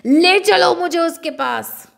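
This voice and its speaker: A woman voicing an angry, menacing male lion king in a children's story, with drawn-out threatening delivery. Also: very high voice, expressive, gravelly, fairly bright tone, pitched above the performer's own voice, full character voice. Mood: furious.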